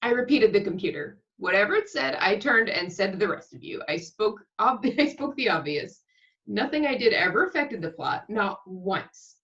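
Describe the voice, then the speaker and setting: A woman's voice: mid-range voice, lively intonation, normal speed, a bit bright, lo-fi sound, lightly acted. A woman playing an exasperated, sardonic television actress in an amateur comedy table read, complaining and sarcastic. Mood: bitter.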